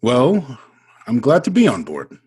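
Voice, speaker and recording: A man acting in an audio drama as a nervous, smitten businessman, with a hesitant, self-doubting delivery and a husky voice. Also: medium pitch, huge pitch swings, measured pace, darkish tone, full character voice. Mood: nervous, eager. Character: nervous, smitten businessman talking himself into phoning a married woman.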